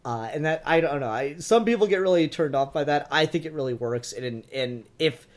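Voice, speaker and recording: A man's voice: a little high, a little fast. A man co-hosting a podcast, chatting casually and enthusiastically as a fan reviewer, with plenty of swearing.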